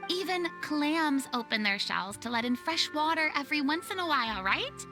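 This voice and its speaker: A spirited, polite young lady in a video game. The voice is high and swings widely, chatty and theatrical in delivery, with music behind it. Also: normal speed. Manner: expressive, chatty, dramatic.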